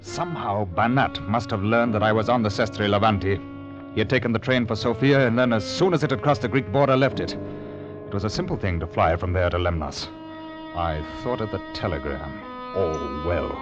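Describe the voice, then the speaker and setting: A man's voice: on the low side, normal speed. A man narrating in the first person as the lead in an old radio adventure drama, tense and storytelling. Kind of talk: 1940s radio acting. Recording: dull old radio broadcast with music.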